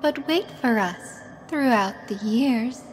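An actress playing the ghost of a young nurse from an old time, singing a soft lullaby in an audio drama. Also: female voice, on the high side, expressive, takes it slowly, faint music behind. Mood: haunting.

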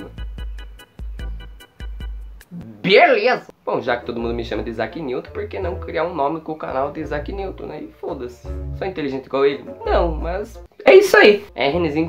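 A teenage boy with a Brazilian Portuguese accent, answering viewer questions in a loose, unscripted vlog, joking and swearing a lot, filmed on a phone in a small bedroom. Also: mid-range voice. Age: teens. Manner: slangy, jokey, swears a lot, self-mocking. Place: small bedroom with wooden walls, indoors. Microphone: phone or webcam at arm's length.

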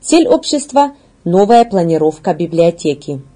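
Mellow, husky woman reading the news. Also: fairly low pitch, big pitch swings, normal speed. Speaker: news presenter. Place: indoor studio.

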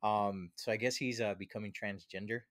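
Casting: man, 30s, low voice, jokey informal podcast chat, dry close-mic sound. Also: fairly even intonation, a little fast, fairly bright tone, no echo. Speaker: podcast co-host. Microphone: close podcast microphone with headphones.

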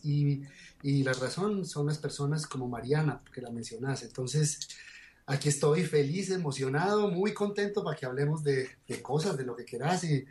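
A man's voice: medium pitch, a little mellow, slight background noise. A young man from Colombia with a Medellín accent, speaking expressively and laughing easily as a guest over a video call. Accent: Colombian Spanish, Medellín. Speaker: guest poet and performer.

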